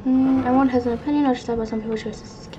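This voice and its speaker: A woman's voice: a little high, steady tone, racing, lo-fi sound. Young woman chatting casually over a game. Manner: chatty, reactive, thinking aloud. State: a little sick. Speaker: gamer playing through a story quest. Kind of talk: casual gameplay commentary. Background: game music.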